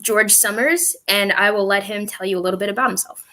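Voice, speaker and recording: A woman's voice: mid-range voice, fairly even intonation, brisk, pushed and bright. A young woman hosting a livestream over a video call, asking interview questions in an easygoing, friendly way. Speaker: livestream host. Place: small room at home.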